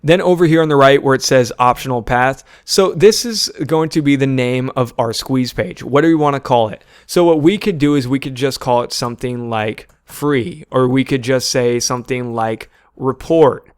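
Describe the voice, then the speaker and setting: A man's voice: medium pitch, moderate pace, few pauses, clean tone, no room sound, clean studio sound. A man narrating a screen-share tutorial, talking informally and guiding viewers step by step as the presenter. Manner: informal step-by-step instructing, often addressing viewers as "you guys".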